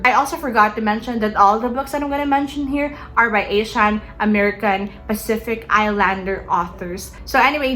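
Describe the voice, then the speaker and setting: Young woman from the Philippines, native Tagalog speaker, bright eager booktuber, chatty and casual. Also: on the high side. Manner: chatty, eager, filler-heavy. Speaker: book vlogger. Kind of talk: casual reading-list vlog.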